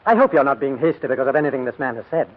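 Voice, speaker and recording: A man's voice: mid-range voice, lively intonation, rapid-fire, close to an everyday voice. An actor playing a mild, polite father in a 1940s radio drama. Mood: concerned. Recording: tinny, narrow vintage radio recording.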